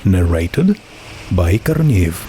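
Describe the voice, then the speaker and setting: A man's voice: deep voice, very animated, takes it slowly, background noise. A man reading a scripted story as its narrator. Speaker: narrator of fan fiction audio readings.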